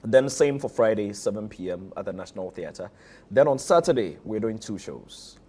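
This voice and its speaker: A man's voice: lowish voice, lively intonation, normal speed, dark tone, husky. A Ghanaian-accented man being interviewed as a theatre director, talking conversationally and leaning on repeated phrases for emphasis.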